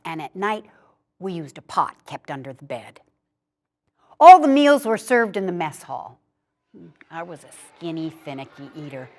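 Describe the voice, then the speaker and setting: Female narrator for a first-person memoir reading, lower register, measured storytelling delivery. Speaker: story reader.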